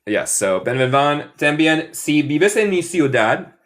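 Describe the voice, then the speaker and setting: An American man from Michigan, an English teacher, chatting casually on a livestream in halting Spanish with many fillers and switches into English. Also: on the high side, big pitch swings, a bit bright. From Michigan, USA.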